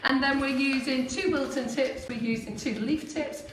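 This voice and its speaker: Woman, squeaky voice, chatty live baking demo; roomy kitchen, distant mic. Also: steady tone, normal speed. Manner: chatty, laughs often, explains steps plainly. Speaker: baking show co-host. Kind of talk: live cake decorating demo. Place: home kitchen in Spain. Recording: echoey kitchen, far mic, hiss.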